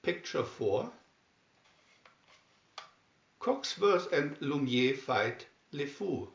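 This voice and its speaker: A man narrates a storybook in a deliberate, announcing way. His fairly high voice moves up and down in a lively manner, and the recording is slightly dull and roomy. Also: gravelly. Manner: deliberate, announcing each caption. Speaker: narrator reading a picture-reel booklet.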